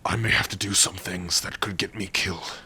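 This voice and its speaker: Gentle giant orc played by a man, low-pitched and crisp-edged, hesitant and lovestruck, for intimate ASMR roleplay. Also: steady tone, full character voice. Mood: nervous, tender. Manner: hesitant, halting, soft-spoken, intimate. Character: big tender, bashful male orc in love. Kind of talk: ASMR romantic fantasy roleplay.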